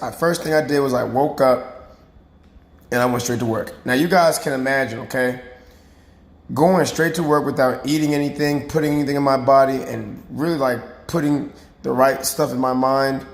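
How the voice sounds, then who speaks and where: A man with a raspy, hoarse voice, a fitness coach talking casually and earnestly to his viewers, often checking in with "right?" and "okay?". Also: medium pitch. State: hoarse after boot camp. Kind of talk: casual talk to camera. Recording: roomy recording.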